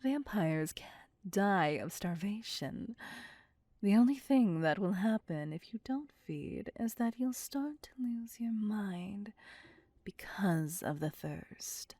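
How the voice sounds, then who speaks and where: A woman voicing a possessive, vain vampire mistress in an ASMR roleplay, breathy and often whispering, with condescending endearments. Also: medium pitch, expressive, dark tone, no room sound. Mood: menacing tenderness. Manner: slow, deliberate, condescending endearments. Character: possessive, vain female vampire, commanding and seductive. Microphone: close, dry microphone.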